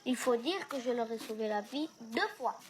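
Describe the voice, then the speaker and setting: A performer voicing a tiny, brave, inquisitive young boy in an animated film, plain-spoken and determined. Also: medium pitch, normal speed, a little hiss or hum, natural voice. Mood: matter-of-fact.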